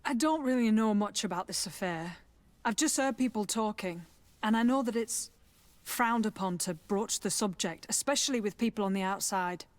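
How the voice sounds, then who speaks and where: A woman's voice: medium pitch, normal speed, no echo, lightly acted. A woman playing a proud, guarded lieutenant who trains recruits in a video game. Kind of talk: video game dialogue acting.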